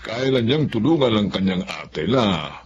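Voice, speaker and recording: A man playing a caring, wistful middle-aged father in a drama, with a gravelly voice and Filipino accent. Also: lowish voice, sing-song, unhurried, dull audio, slight background noise. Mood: concerned, wistful.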